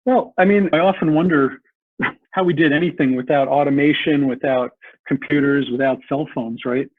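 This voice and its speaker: A man's voice: on the high side, moderate pace, no room sound. A man explaining history earnestly as a podcast guest, often repeating words as he thinks. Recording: tinny phone-like line.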